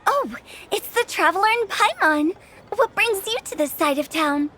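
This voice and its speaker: A mischievous high-voiced young director. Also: female voice, expressive, moderate pace, sharp tone, a little hiss or hum, full character voice. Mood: playful. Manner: teasing, theatrical, sing-song. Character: playful young woman funeral parlor director, mischievous. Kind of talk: game cutscene voice acting.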